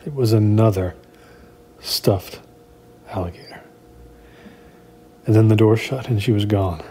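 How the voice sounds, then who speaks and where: A man performing a first-person horror story like a spoken diary, in a halting, uneasy, confiding way. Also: on the low side, mellow. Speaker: narrator of a horror audio drama. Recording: clear background noise.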